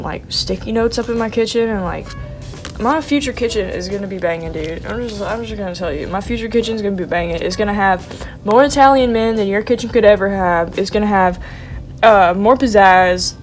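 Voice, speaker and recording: Stuffy-nosed young woman in her twenties rambling about her sketchbook, playful and slangy, soft music under it. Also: mid-range voice. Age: young adult. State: congested, sniffling. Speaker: artist showing her sketchbook. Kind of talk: casual vlog chat.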